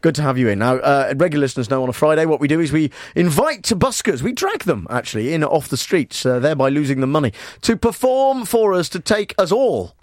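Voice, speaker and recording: Lively bantering man on radio. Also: fast, hardly any pauses, no echo, studio quality. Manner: playful, wheedling, pushes questions with rising pitch. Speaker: radio presenter. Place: radio studio. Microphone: studio microphone.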